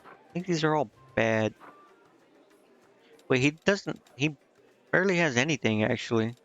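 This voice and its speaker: A man's voice: medium pitch, animated, measured pace, a bit bright. A man casually commenting on a video game he is streaming, thinking aloud and reading bits of on-screen text. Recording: slightly dull lo-fi mic, game music behind.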